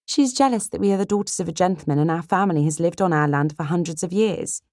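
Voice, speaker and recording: A woman's voice: lowish voice, quick pace, darkish tone, no echo, studio quality, voice pushed up, natural voice. A woman voicing an outspoken, impatient young sister in a period romance audiobook, with a blunt, emphatic delivery. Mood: matter-of-fact.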